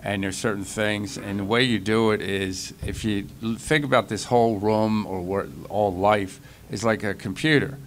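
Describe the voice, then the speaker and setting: A middle-aged man on a conference panel speaks in a somewhat low voice. He explains eagerly through comparisons, into a stand mic with a faint background noise. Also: steady tone, normal speed, hardly any pauses. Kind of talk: casual panel talk. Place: conference hall panel table.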